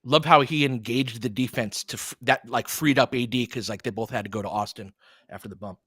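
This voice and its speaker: A man's voice: medium pitch, brisk, a bit bright, husky, dry recording, studio quality. A man hosting a casual fan livestream, calling basketball in short clipped phrases mixed with chatty asides. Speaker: play-by-play host.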